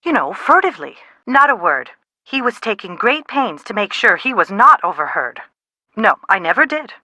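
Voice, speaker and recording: A woman playing an anxious, sharp-tongued middle-aged mother in a video game, with a dry, dramatic manner. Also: mid-range voice, sing-song, normal speed, dry recording. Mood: wry, concerned. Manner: exasperated, dramatic, dry wit. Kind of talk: video game dialogue, phone conversation acting.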